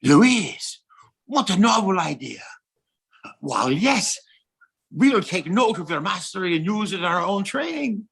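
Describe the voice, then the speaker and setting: A man's voice: sits high, very animated, unhurried, vocal fry, a bit bright, breathy edge, full character voice. A man playing an ardent, tender young French king in a courtly, theatrical audio drama style.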